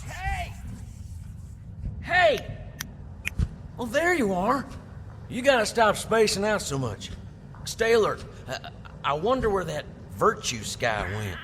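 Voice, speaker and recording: A man's voice: sits high, sing-song, slow pace, long pauses, fairly bright tone, exaggerated voice. An actor playing a jokey, brash male companion who talks casually. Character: wisecracking upbeat male companion. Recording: reverb with background noise.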